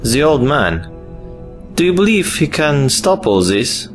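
A man's voice: on the high side, very animated, unhurried, over music. A man playing a helpful but unsure soldier in a war audio drama. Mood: quiet doubt.

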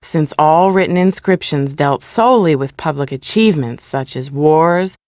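A composed female exhibit narrator, played by an actress in a video game. Her voice is very low and dry. She reads educational text in a measured, formal way. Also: a little mellow, no room sound, lightly acted.

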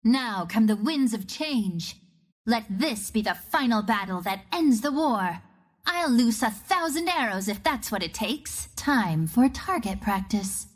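A woman's voice: on the high side, animated, a little slow, husky. A woman voicing a cocky, playful young archer of the wind in a video game, with a confident, teasing manner. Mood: determined. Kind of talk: video game character voice lines.

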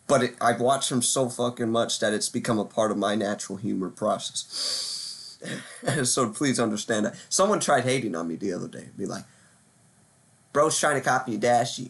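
A man's voice: medium pitch, gravelly. A man hosting a reaction video with casual, slangy commentary, joking and swearing freely. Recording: faint music behind the voice. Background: soft background music.

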